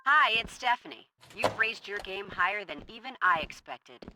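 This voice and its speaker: A woman playing a demanding, authoritative wrestling boss in a video game cutscene, commanding and deliberate. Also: medium pitch, expressive, over loud music, close to an everyday voice, rough recording. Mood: businesslike.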